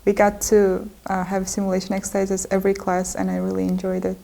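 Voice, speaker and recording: A woman's voice: medium pitch, monotone, fairly quick, creaky, dark tone. A woman from Central Asia, a graduate student, describing her courses hesitantly with many fillers. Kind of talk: conversational program overview.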